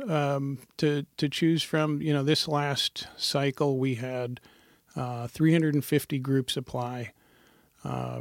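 Middle-aged man, program director type; gravelly, measured, hesitant delivery. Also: mid-range voice, no echo, clean studio sound. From Montana. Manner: thoughtful, hesitant, many fillers. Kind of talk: interview answers.

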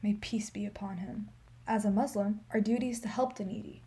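A woman playing an elderly, wry and resolute aid worker in a radio play, speaking in measured aphorisms. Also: medium pitch, steady tone, dark tone, close to an everyday voice. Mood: sombre, resolute. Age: older.

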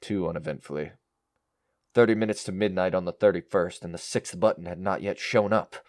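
A man's voice: deep voice, fairly quick, a little mellow, lightly acted. An actor playing a greedy, paranoid man coming apart in an audio drama, agitated and theatrical. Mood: brooding. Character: greedy, paranoid man unravelling into murderous madness. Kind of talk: audio drama acting with first-person narration.

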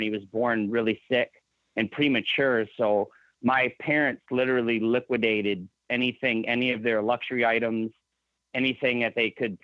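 A man's voice: mid-range voice, dry recording. Michigan man with a dull, dry sound. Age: 30s. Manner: rambling, restarts often. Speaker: guest. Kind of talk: casual interview.

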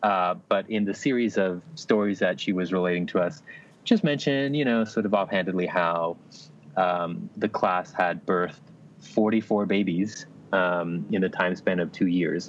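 A deep-voiced young man chats as a podcast co-host. He tells stories hesitantly, with understated dry humour, over slightly muffled audio. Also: measured pace. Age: young adult. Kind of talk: casual chat and anecdote.

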